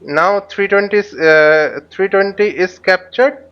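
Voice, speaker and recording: A man giving live flight simulator tutorial commentary as an instructor, haltingly thinking aloud as he demonstrates. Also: high-pitched, clean tone. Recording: dull, lo-fi microphone sound.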